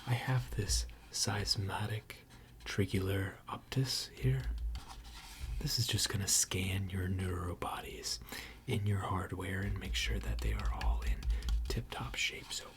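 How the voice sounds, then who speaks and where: Slow, whispering ASMR voice of unclear gender. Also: sits low. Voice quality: breathy, mostly unvoiced whisper. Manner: gentle, reassuring, unhurried, hesitant pauses. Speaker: ASMR creator role-playing a robot repair technician. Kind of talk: ASMR roleplay, softly whispered.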